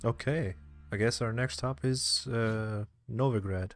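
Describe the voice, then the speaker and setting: A man's voice: mid-range voice, a little slow. Man casually reacting to a game, music behind. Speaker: game streamer. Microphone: headset.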